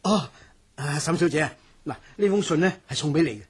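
An actress playing a humble, awkward messenger in a radio drama, hesitant and deferential, with a Hong Kong Cantonese accent. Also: female voice, low voice, expressive, fairly quick, darkish tone, breathy edge, full character voice. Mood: humble, startled.

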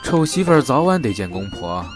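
A man's voice: on the high side, big pitch swings, fairly quick, over music. A man playing a confident, teasing young police detective in an audio drama. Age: young adult.

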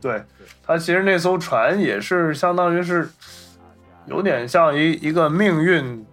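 A man's voice: on the high side, animated, unhurried, a bit bright, faint music behind. A man co-hosting a podcast, explaining points about film in a casual, conversational discussion style. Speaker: podcast co-host.

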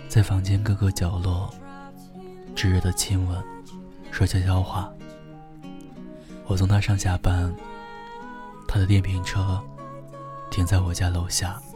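Low, soft-voiced young boyfriend. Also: male voice. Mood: wistful. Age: young adult. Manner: soft, tender, unhurried, breathy phrase endings. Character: gentle, devoted young boyfriend, wistful and heartbroken. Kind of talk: intimate first-person radio drama narration. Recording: music behind the voice.

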